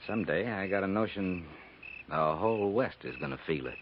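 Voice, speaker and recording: A low-voiced, plainspoken Old West agent, brooding and uneasy. His folksy talk carries foreboding. The 1950s radio drama recording is dull, with faint noise. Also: animated, moderate pace. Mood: wistful, foreboding. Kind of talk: 1950s radio western acting.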